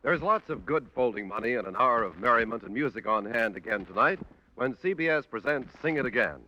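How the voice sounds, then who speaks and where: A man's voice: mid-range voice, animated, a little fast. A man with an American accent making polished, promotional announcements in the style of mid-century network radio. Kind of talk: 1940s-50s radio announcing, scripted promo. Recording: muffled vintage radio recording, faint hiss.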